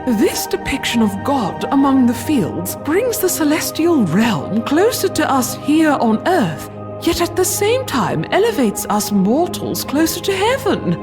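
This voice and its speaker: Woman doing polite bemused queen, highish expressive voice, comedy audio play. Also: a little slow, over music. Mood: moved, awed. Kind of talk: comic audio drama acting.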